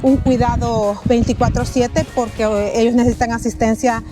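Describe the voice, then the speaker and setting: Earnest Salvadoran woman, high voice, over music. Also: steady tone. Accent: Salvadoran Spanish. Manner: earnest, unhurried, with many hesitations. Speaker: interviewee. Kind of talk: street interview, personal story. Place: outdoors on a city street.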